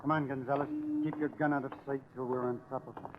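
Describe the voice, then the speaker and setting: A man acting a cold, domineering gangster boss in a 1940s radio crime play. He gives orders curtly at a middling pitch. The old recording is very muffled and thin, with faint noise. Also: little pitch movement, quick pace, natural voice. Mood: stern. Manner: curt, domineering. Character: cold, commanding male gangster boss and killer.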